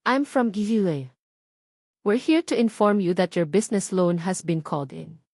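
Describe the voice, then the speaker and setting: A woman's voice: mid-range voice, normal speed, a little mellow, no room sound, studio quality, natural voice. A woman narrator playing a cold, formal businesswoman in a story, speaking without emotion. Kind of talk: acted dialogue in story narration.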